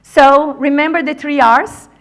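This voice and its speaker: A woman's voice: high voice, a little slow, gravelly. A woman who is not a native English speaker, giving an encouraging motivational talk to a conference audience. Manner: energetic, encouraging, addresses audience directly. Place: conference venue.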